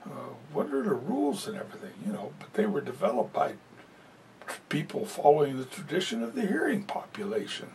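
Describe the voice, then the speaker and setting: Distant, gravelly male interpreter in a hall. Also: wildly swooping pitch, a little fast, darkish tone. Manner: conversational, many "you know" fillers. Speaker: sign language interpreter voicing a presenter. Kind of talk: live spoken interpretation of a talk. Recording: distant mic with faint low rumble.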